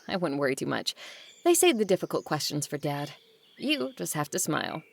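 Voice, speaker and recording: A woman's voice: low-pitched, very animated, moderate pace, creaky, full character voice. A woman playing a guarded, blunt adult daughter of a wealthy family in an audio drama, with a dry, curt way of talking. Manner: dry, curt, bossy with siblings.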